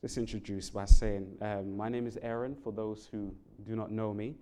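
A man's voice: fairly even intonation, moderate pace, dark tone, a little hiss or hum. Earnest London-accented man preaching. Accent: London English. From England. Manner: earnest, rises sharply in pitch and volume on key words. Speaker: Bible worker preaching. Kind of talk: sermon with prayer and scripture reading. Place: church sanctuary.

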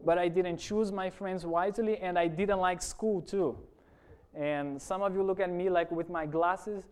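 Brazilian-accented pastor preaching with a high voice. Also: male voice, smooth. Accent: Brazilian Portuguese. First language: Portuguese. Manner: earnest, addresses congregation with frequent "amen?". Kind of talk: sermon testimony. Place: church.

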